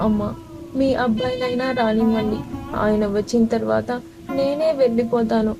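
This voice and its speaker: A woman's voice: a little high, fairly even intonation, moderate pace, lightly acted, rough recording. A woman voicing a meek, wounded young daughter-in-law in a cartoon moral story, plaintive and pleading, with music behind. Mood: desperate pleading.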